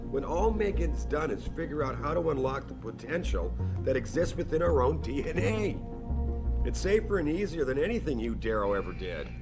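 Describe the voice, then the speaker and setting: An actor playing a confident, driven biotech company boss in cinematic video game dialogue, commanding and persuasive. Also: male voice, fairly high pitch, big pitch swings, moderate pace. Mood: proud. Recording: loud music behind dialogue.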